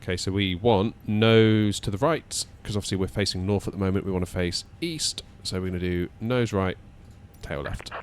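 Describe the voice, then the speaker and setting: A man with a low voice hosts a flight simulator session in casual commentary. He chats and thinks aloud as he goes, with faint background noise under his talk. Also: moderate pace. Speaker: flight simulator video host.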